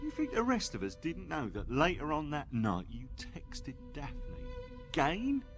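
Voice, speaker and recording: Bitter office man, radio play, played by a man: scornful, lively intonation, medium pitch, faint music underneath. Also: a little slow, exaggerated voice. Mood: scornful, incredulous. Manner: scornful, reproachful. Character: aggrieved, scornful male office worker. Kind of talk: radio play monologue acting.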